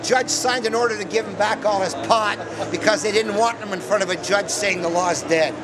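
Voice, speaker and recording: A man giving an animated speech at an outdoor expo, telling stories and acting out the people he quotes. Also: high voice, brisk. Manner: storytelling, acting out quoted officials in raised voices. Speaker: speaker at an expo. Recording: loud crowd and background noise outdoors.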